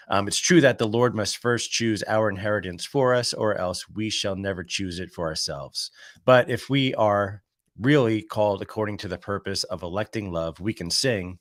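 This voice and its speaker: A man who is under the weather with a cold, reading a daily devotional aloud. He pauses between passages to share relaxed, conversational thoughts. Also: deep voice, no room sound, studio quality. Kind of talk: devotional reading with casual commentary.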